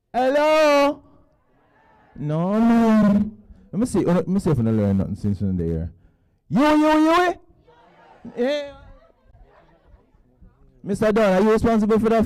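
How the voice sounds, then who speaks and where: Guy hyping a crowd onstage, jumpy lively voice, joking around in slang. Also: high-pitched, no room sound. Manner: playful, teasing, with local slang. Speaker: event host. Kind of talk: lively crowd hosting on stage. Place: stage at a student orientation event.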